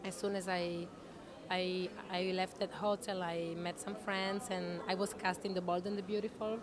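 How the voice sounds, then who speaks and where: A woman's voice: lowish voice, level tone, moderate pace. Chatty woman against busy chatter. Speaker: actress interview guest. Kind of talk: chatty anecdotal interview answers. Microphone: handheld interview microphone shared with interviewer.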